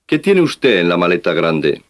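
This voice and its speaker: A man's voice: sits low, big pitch swings, moderate pace. A man playing a polite customs officer who asks formal questions in a scripted language-lesson dialogue.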